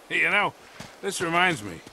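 A wisecracking old treasure hunter. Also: male voice, on the high side, very animated, measured pace, vocal fry, bright, forceful tone, slight background noise, exaggerated voice, low-quality recording. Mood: rambling. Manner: joking, storytelling, grumbling. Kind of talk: video game cutscene dialogue.

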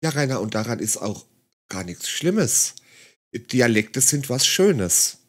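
A man's voice: medium pitch, sing-song, slow pace, a bit bright, husky. A man giving mocking, condescending commentary on a video clip in a sarcastic tone. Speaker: satirical commentator.

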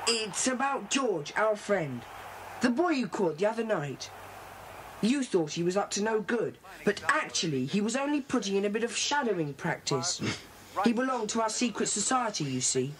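A woman's voice: medium pitch, big pitch swings, moderate pace, lightly acted, poor audio. A woman playing a confident schoolboy gang leader with a British accent, earnest and decisive, in a children's audio drama. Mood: keen, decisive. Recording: hissy old cassette tape.

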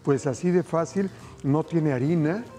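A man's voice: on the high side, unhurried, over music. A middle-aged man hosting a cooking show, cheerfully walking viewers through a recipe. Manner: enthusiastic, addresses viewers directly. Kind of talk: cooking demonstration. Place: TV studio kitchen set.

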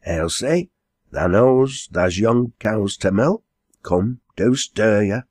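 A bossy, practical inn landlady from a storybook , played by a man hands out orders in Lancashire dialect. The voice is husky and raised a little in pitch, with drawn-out words. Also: lively intonation, darkish tone, no echo, clean studio sound. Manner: brisk orders in broad dialect.

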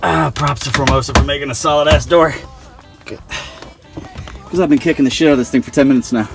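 A man in his thirties vlogging casually from inside a damaged sailboat cabin, swearing freely and filling pauses with ums. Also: a little high, vocal fry, over music. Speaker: sailing vlogger. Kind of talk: casual vlog narration. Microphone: handheld action camera close up.

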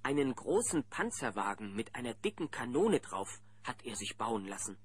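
A man's voice: medium pitch, very animated, normal speed, slightly rough. A man narrating a children's audio drama in a dramatic storytelling manner. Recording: noisy old cassette transfer.

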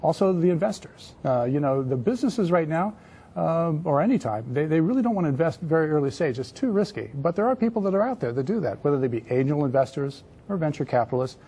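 A man in his fifties speaking as a guest in a studio panel discussion, halting and repeating words as he explains. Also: fairly high pitch, very animated, mellow. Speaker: panel guest, entrepreneurship adviser. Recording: clear background noise.

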